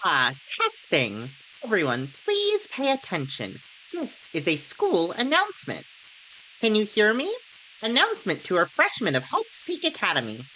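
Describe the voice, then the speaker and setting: A woman's voice: mid-range voice, wildly swooping pitch, measured pace, sharp tone, slight background noise, heavily acted, low-quality recording. A woman playing a game's school announcer in a formal, official manner.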